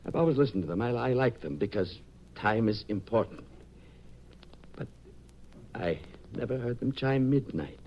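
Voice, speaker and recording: An actor playing a shaken, brooding man obsessed with time, in a halting, theatrical 1950s radio style. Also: medium pitch, lively intonation, slight background noise, close to an everyday voice. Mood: haunted, musing. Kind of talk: 1950s radio drama acting. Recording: dull vintage 1950s radio recording.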